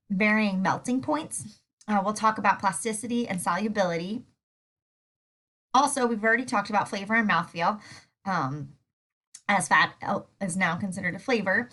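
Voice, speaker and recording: Woman lecturer with a cold, stuffy and sore-throated, bright tone, easygoing with frequent ums. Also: medium pitch. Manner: relaxed, with many fillers. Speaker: food science teacher. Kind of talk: recorded lecture over slides.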